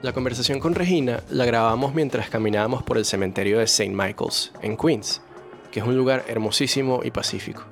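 Calm thirty-five-year-old male host. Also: medium pitch, vocal fry, faint music behind. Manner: calm, measured narration; easygoing in chat. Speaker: podcast host. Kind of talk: scripted narration and casual interview.

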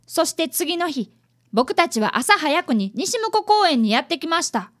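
A woman's voice: high voice, big pitch swings, unhurried, fairly bright tone, heavily acted. A woman playing a polite, earnest primary-school boy who is an apprentice detective and narrator in a radio drama. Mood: earnest narrating.

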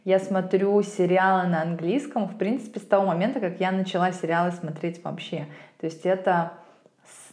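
A woman from Russia, an English teacher co-hosting a podcast, telling stories in an animated, emphatic way. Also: on the low side. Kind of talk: casual podcast chat. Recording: roomy echo.

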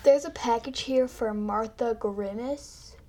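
A woman's voice: a little high, slow pace, darkish tone. A woman acting grumbling, chatty elderly characters in an amateur comedy radio drama. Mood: plain.

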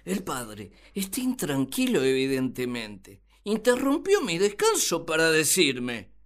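A woman's voice: low voice, huge pitch swings, measured pace, full character voice. A woman playing a naive, grumbling first man who loves solitude, with whiny, exaggerated delivery in a radio drama. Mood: reluctant. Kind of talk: radio drama monologue and dialogue.

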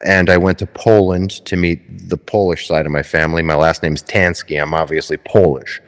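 A low-pitched man, a musician guest on a podcast, speaks in a reflective, unhurried conversational way. He often stumbles and doubles words before finding his point. The room gives his voice a long, lingering reverb. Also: fairly even intonation. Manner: reflective, stammering repeats. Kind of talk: casual conversation.

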